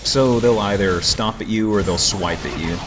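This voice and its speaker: A man's voice: on the low side, moderate pace, over music. A man giving casual, joking commentary on a video game and explaining how it works. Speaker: let's play commentator.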